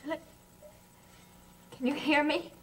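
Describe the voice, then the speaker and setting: Very high, agitated young lady. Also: level tone, takes it slowly, fairly bright tone. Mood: anguished. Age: young adult. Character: troubled, agitated young lady haunted by doubt. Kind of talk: 1960s gothic soap opera acting. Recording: old TV soundtrack with background music.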